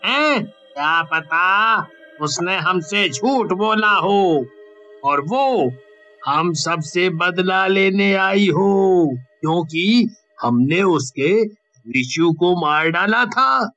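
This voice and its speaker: A man's voice: high-pitched, expressive, extremely slow, bright, forceful tone, highs cut off, faint music behind, exaggerated voice. An actor playing a doubting, questioning village man in a horror story. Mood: suspicious. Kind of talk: animated horror story dialogue.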